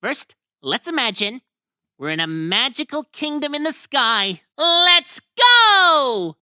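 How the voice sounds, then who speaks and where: A very bright, bouncy voice for a naive, cheerful young male cartoon sponge, as a man puts him on. He talks in an eager, sing-song way. It sits close to the mic. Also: very high-pitched, sing-song, slow pace, no room sound, heavily acted. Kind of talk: comic fan dub.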